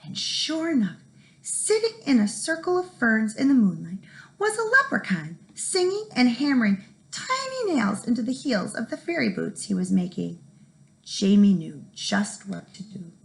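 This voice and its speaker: A woman teacher giving an expressive storybook read-aloud for young children, with dramatic emphasis. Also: fairly high pitch, moderate pace, gravelly, rough recording. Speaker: first grade teacher reading aloud.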